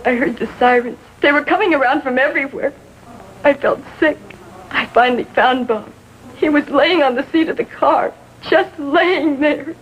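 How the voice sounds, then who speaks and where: An American woman playing a distraught, lovestruck sixteen-year-old girl in a 1950s TV drama, speaking in short, halting sentences. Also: high voice, close to an everyday voice. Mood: shaken recounting. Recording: faintly noisy vintage TV soundtrack.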